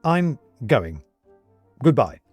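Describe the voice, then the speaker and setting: A man's voice: mid-range voice, big pitch swings, extremely slow, a little mellow, slightly rough, no echo, soft background music, voice pushed up, full character voice. A man voicing a tiny shrunken man who stammers and pleads anxiously. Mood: calling out.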